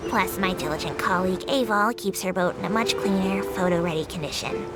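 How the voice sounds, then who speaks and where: A woman voicing a small, earnest female creature tour guide in a video game, making polite, formal, measured announcements. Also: on the low side, lightly acted. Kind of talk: video game character voice acting. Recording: loud music over the voice.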